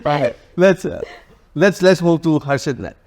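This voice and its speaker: A man's voice: on the high side, unhurried, a loud crowd. A man with an Indian accent hosting a casual panel interview podcast. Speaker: podcast host.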